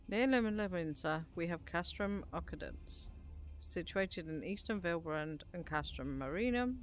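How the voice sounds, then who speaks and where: A woman voicing a stern military commander, reading fantasy game briefing dialogue in a formal, deliberate way. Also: sits low, close to an everyday voice. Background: background music.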